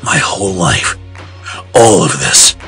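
A man's voice: sits low, lively intonation, takes it slowly, bright, forceful tone, full character voice. A man playing a grim, tormented masked vigilante in a comic dub, speaking in a restrained, solemn growl. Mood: grave, tormented. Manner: restrained, solemn, brooding delivery. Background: music.

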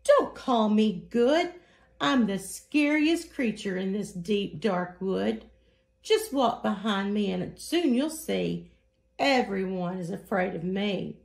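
A woman's voice: mid-range voice, expressive, slow, creaky. Storybook mouse played by a woman: small, clever, politely boastful, swooping intonation, roomy sound. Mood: boastful, bright. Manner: polite, theatrical storybook delivery.

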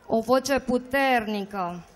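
A middle-aged woman presenting prizes on a club stage, holding a handheld mic and rallying the crowd for applause. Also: on the high side. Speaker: awards presenter. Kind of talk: live stage emcee announcing winners. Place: stage of a rock cafe with karaoke audience. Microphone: handheld microphone.

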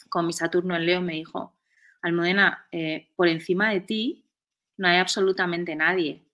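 A woman in her forties with a somewhat low voice, calmly explaining spiritual ideas. She slows down to weigh her words and speaks over a webcam from a room at home. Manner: calm, explanatory, slows down for key points. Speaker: guest. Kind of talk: reflective spiritual conversation. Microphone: video call webcam.

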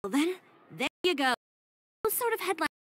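A woman's voice: very high-pitched, very animated, normal speed, a bit bright, dry recording, heavily acted. A woman playing a proud, blunt young tailor in a video game, curt and assertive. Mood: stern.

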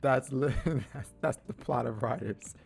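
A man's voice: mid-range voice, measured pace, gravelly, faint music behind. A man giving casual, slangy reaction commentary as a video host, sounding amused.

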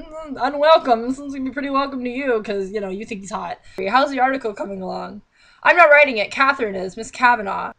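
A woman's voice: on the high side, a bit bright. A young woman reading a book aloud and mocking it with sarcastic, exasperated, theatrical commentary, recorded on a webcam in a small bedroom. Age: young adult.

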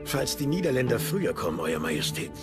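An actor playing a resolute, deferential English admiral in a German docudrama, speaking formally and respectfully. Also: male voice, mid-range voice, sing-song, fast, loud music behind the voice. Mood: dutiful, resolute. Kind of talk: docudrama dialogue in German dub.

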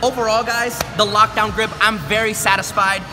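Male fitness vlogger, 20s, high-pitched and animated, casual joking delivery over loud music. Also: normal speed. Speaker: fitness vlogger reviewing gym gear. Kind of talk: casual vlog talk to camera. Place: large busy commercial gym. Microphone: handheld camera at arm's length.

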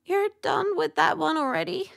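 A woman's voice: very high-pitched, moderate pace, vocal fry, a bit bright, no echo, clean studio sound, exaggerated voice. A woman voicing a timid, insecure, sweet mask creature in a cartoon dub, with a plaintive, fragile manner. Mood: shy, sweet. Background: music.

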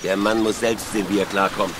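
Man as a gruff, deep-voiced survivor. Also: fairly even intonation, a little fast, natural voice. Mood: grim. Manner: dry, blunt. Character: gruff, pragmatic adult male survivor. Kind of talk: German radio drama acting. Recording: noisy outdoor ambience.

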